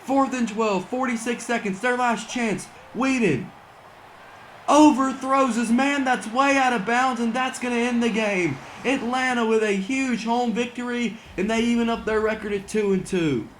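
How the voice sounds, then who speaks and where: A young man doing excited mock broadcast commentary over a football video game, saying he is losing his voice. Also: very high voice, moderate pace, slight background noise, rough recording. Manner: excitable play-by-play, rising to near-shouts on big plays. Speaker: gaming channel host doing play-by-play.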